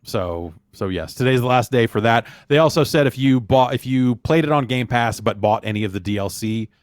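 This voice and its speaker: A man's voice: medium pitch, a little fast, no room sound, studio quality. A man hosting a podcast in casual chat, rambling loosely with plenty of fillers and restarts. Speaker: podcast host. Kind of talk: casual chat, reading listener emails.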